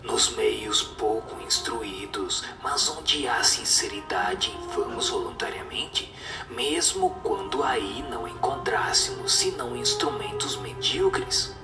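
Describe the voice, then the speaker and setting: A man voicing a wise, solemn spirit who teaches gravely in a low, breathy, half-whispered voice, in a radio drama. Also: sing-song, takes it slowly, reverberant, distant mic, over music, heavily acted. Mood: solemn, stern. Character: wise superior spirit answering questions, solemn and instructive.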